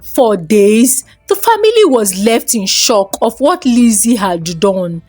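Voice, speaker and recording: A woman's voice: on the high side, sing-song, slow pace, a little mellow, soft background music. A woman storyteller giving a dramatic scripted narration of a folktale.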